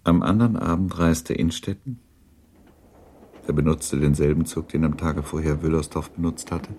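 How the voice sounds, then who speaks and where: A male narrator of a literary radio drama, extremely deep and gravelly. His delivery is sober and composed, like scripted storytelling. Faint noise and ambience sit behind the voice. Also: mellow.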